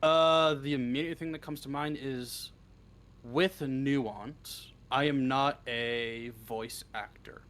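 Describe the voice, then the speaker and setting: A young man in his early twenties speaks in an average-pitched voice. He chats casually as an interview guest, joking and swearing freely, and often stumbles into his sentences before finishing them. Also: fairly even intonation, a bit bright. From Canada. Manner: joking, sweary, halting starts. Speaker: interview guest, script writer. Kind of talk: casual chat.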